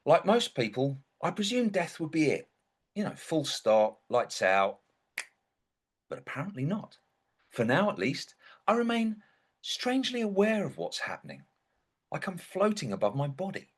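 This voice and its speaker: A man's voice: fairly high pitch, wildly swooping pitch, normal speed, slightly rough, full character voice. An actor playing an indignant, sardonic dead young man who narrates a comic stage monologue in a wry, theatrical way. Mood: wry musing.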